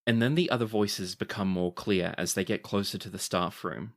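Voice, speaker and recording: A man running a tabletop game as game master, narrating scenes in an unhurried storytelling manner. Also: low-pitched. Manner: descriptive, unhurried storytelling with dramatic pleading quotes.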